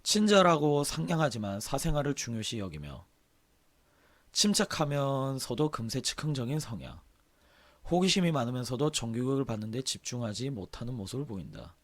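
Hoarse, husky-voiced male streamer. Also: medium pitch, big pitch swings, a little fast. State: sore, hoarse throat with throat clearing. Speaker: game streamer. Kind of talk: casual livestream chat, reading aloud and commenting.

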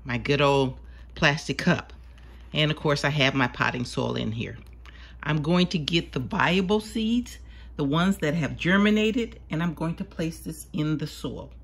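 A woman's voice: low-pitched, animated, unhurried, gravelly. A woman casually narrating a home gardening how-to in her sunroom, chatty and patient as she works with her hands. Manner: homey, patient, chatty explaining. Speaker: home gardener showing seed starting.

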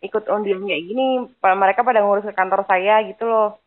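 A woman's voice: medium pitch, fairly even intonation, brisk. A woman in a casual phone conversation, asking probing questions in a matter-of-fact way. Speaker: wife questioning a phone caller. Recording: thin, narrowband phone line.

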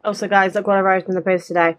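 A woman's voice: medium pitch, flat delivery, racing. A young woman doing casual let's-play commentary as a game streamer, close to a desk mic. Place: bedroom, indoors. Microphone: desk condenser mic close up. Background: faint game music.

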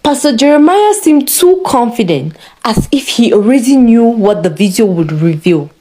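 A woman narrating a scripted story in a measured, dramatic way. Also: a little high, sing-song, dark tone.

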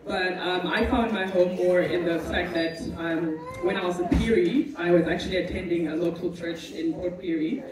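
A woman from Zimbabwe speaks earnestly and reflectively to an audience, pausing now and then as she gathers her thoughts. Her voice reaches the mic from a distance in a very echoey hall. The murmur of a crowd sits behind her. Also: lowish voice, steady tone. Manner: earnest, reflective, with frequent ums. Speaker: pharmacist speaking at a community event. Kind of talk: personal testimony to an audience. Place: large hall at a multicultural event.

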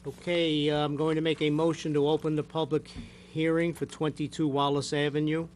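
An older man speaking as a board chair, courteous and measured as he puts questions and handles formal procedure at a public meeting. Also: fairly high pitch, level tone, a little mellow. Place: municipal meeting room. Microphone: gooseneck desk microphone. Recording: faint background hum.

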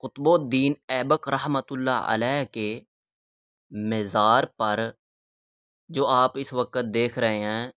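A man narrating a historical walking tour in a measured, reverent, halting way, phrase by phrase. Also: medium pitch, fairly even intonation, a bit bright, clear, resonant tone, dry recording, clean studio sound. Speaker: vlogger and guide.

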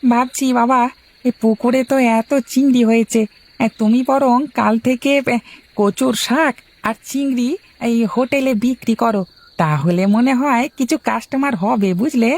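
A woman's voice: on the high side, moderate pace, darkish tone. A woman voicing a cheerful, eager young village husband and dutiful son in a cartoon, chatty and full of exclamations. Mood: cheerful, suggesting. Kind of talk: cartoon voice acting.

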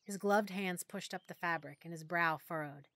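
Low-voiced woman narrating an audiobook. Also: normal speed, a bit bright, no echo. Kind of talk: first-person audiobook narration.

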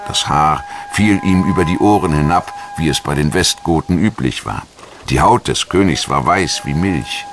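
A man narrating a scripted history documentary in a measured, articulate storytelling manner. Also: extremely low pitch, slight background noise.

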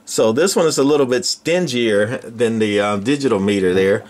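Older male workshop host, folksy, halting, casual demo talk, mid pitch. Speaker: radio repair channel host. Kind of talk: casual equipment demonstration. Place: indoor electronics workshop.

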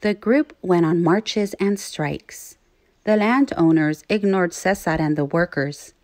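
A woman teacher reading aloud to young children, phrasing things clearly and putting questions to her listeners. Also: fairly low pitch, slow pace, a little mellow, dry recording. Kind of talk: children's read-aloud narration.

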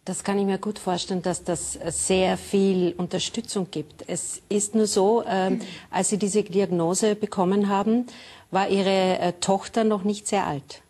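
A woman's voice: medium pitch, fairly even intonation, unhurried, mellow. A woman hosting a daytime TV talk show, asking polite questions with some hesitant fillers. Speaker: TV host. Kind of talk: TV interview. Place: TV studio.

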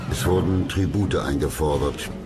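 A middle-aged man reading scripted history documentary narration in a measured, factual way. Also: very deep voice. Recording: clear music under the voice.

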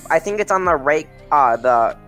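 A man's voice: a little high, animated, moderate pace, fairly bright tone, soft background music. A young man from Minnesota with a Minnesota accent, chatting casually over voice chat while gaming and often stumbling over his words. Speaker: gamer on voice chat.

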